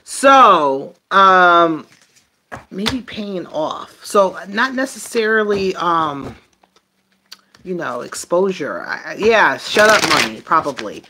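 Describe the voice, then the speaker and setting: A woman doing a casual, improvised tarot reading for viewers, thinking aloud in a halting way and trailing off mid-phrase. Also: fairly low pitch, big pitch swings, noisy background. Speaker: tarot reader.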